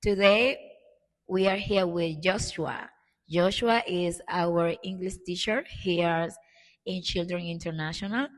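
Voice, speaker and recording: Low-voiced woman, echoey. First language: Spanish. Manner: halting, searching for words in English. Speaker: interviewer. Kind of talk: informal interview questions. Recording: echoey reverberant room.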